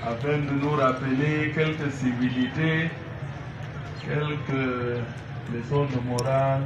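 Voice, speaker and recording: A man giving a formal public address as a civic education speaker, with deliberate, hesitant phrasing. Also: mid-range voice, steady tone. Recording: echoey, noisy, muffled, far from mic.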